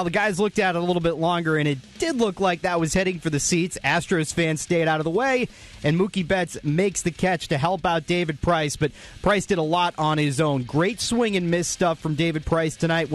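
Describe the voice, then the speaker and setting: A man hosting a radio postgame show, recapping the highlights in a brisk, informative, scripted way.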